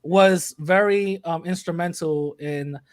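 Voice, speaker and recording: A man's voice: on the high side, slow pace, no room sound, studio quality. A young man, chatting casually as a podcast guest, joking and rambling with many fillers. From New York City, USA. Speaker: podcast host, interviewee. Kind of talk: casual interview chat.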